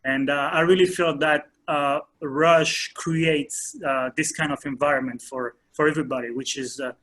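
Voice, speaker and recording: A man in his thirties from France, a soccer coach answering interview questions in a hesitant way with many pauses and fillers. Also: on the high side, steady tone, fairly bright tone, slight background noise.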